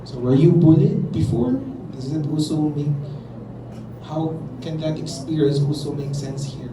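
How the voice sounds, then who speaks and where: A man's voice: a little high, unhurried. A man with a Filipino accent leading a university workshop. He mixes English with local phrases and keeps questioning the students. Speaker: workshop facilitator. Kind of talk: classroom workshop teaching. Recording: roomy, distant, noisy room recording.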